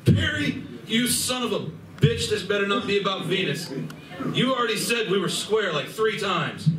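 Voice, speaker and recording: A man's voice: high-pitched, lively intonation, moderate pace. A man acting a jittery, wisecracking animal gunslinger with a sarcastic, exasperated delivery in a live radio play. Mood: indignant. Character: jittery wisecracking male furry gunslinger with a drinking habit. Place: live stage reading at a convention. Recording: distant mic, echoey noisy convention room.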